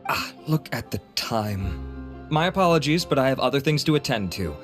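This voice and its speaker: A man acts out a self-assured researcher who sells beauty products in a video game. His mid-pitched voice moves expressively. He is formal, polished and courteous, with a streak of vanity. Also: expressive, moderate pace, faint music behind, natural voice.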